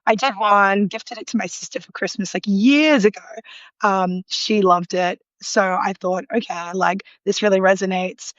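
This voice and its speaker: A woman's voice: medium pitch, normal speed, no room sound, highs cut off. A woman with an Australian accent, a guest talking casually in a live online interview, with many ums and likes and frequent small laughs. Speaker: guest, food illustrator. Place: apartment in a loud city, sirens outside. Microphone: remote live call.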